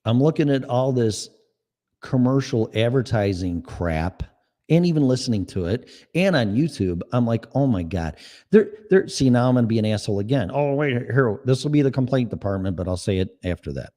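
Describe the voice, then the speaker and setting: A man's voice: medium pitch, expressive, normal speed, warm tone. A man with a Chicago accent hosting a solo podcast, ranting in a blunt, sarcastic and profane way. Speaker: podcast host.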